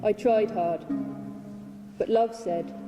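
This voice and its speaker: A woman giving a dramatic poetry reading, measured and deliberate, with weighted pauses. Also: mid-range voice. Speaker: reader of own poetry translation. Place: large hall at a public reading. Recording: reverberant hall with plucked guitar music. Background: plucked string music.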